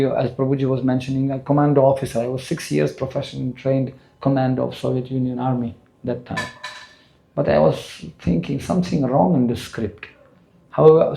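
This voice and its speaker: A man's voice: a little high, creaky, mellow. A man in his sixties from Russia, speaking English with a Russian accent, giving an earnest spiritual lecture to a temple audience. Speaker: spiritual teacher.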